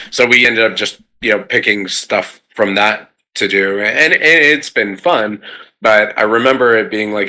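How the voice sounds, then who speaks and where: Low voiced guy, crisp forceful tone, just chatting casually on a gaming show. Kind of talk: casual chat.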